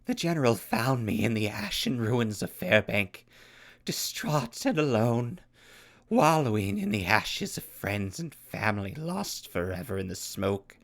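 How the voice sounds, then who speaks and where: A man's voice: fairly low pitch, very animated, a little slow. A man playing a volatile pyromaniac clown soldier in an audio drama, with grandiose, florid speech and swooping outbursts. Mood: wistful.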